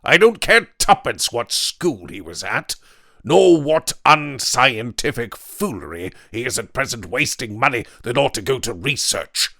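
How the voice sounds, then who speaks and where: A man reading an audiobook, voicing Weston, a massive, loud-voiced male physicist who is curt and overbearing. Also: medium pitch, big pitch swings, a little slow, creaky, no echo, studio quality, voice pushed up, full character voice. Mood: contemptuous.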